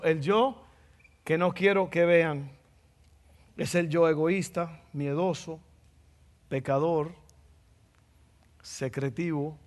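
A man's voice: a little high, big-room sound. A man with a Dominican accent preaching a sermon, playful and joking, often repeating phrases for emphasis. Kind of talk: sermon with jokes.

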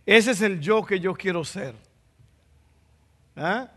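High-voiced Dominican preacher in a hall. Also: male voice, animated, slow, a bit bright, slightly rough. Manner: playful, repeats phrases for emphasis. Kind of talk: sermon with jokes.

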